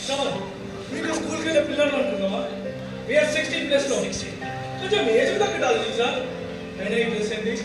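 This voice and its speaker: A man's voice: extremely high pitch, lively intonation, quick pace. A man playing a scornful teenage friend who acts grown-up in a stage play. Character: scornful sixteen-year-old friend acting grown-up. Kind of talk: amateur Telugu stage play acting. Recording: distant echoey hall with music.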